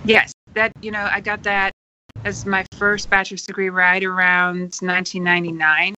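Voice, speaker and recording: A woman in her forties from the Netherlands, a podcast guest speaking earnestly and filling pauses with many ums. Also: mid-range voice, flat delivery, pushed and bright, dull audio, slight background noise. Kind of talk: podcast interview.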